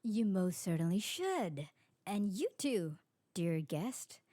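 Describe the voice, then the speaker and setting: A woman playing an elegant, sociable vampire aristocrat in an ASMR roleplay, refined and formal, with a soft husky voice. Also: medium pitch, big pitch swings, takes it slowly, dry recording, clean studio sound. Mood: gracious. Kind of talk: scripted ASMR roleplay acting.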